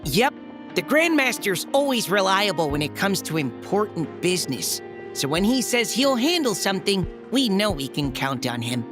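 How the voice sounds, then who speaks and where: A woman's voice: medium pitch, very animated, moderate pace, music in the background, close to an everyday voice. A woman voicing an earnest, slightly flustered young knight courier in a video game, polite and hesitant. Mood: earnest, reassuring. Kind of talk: anime-style video game voice acting.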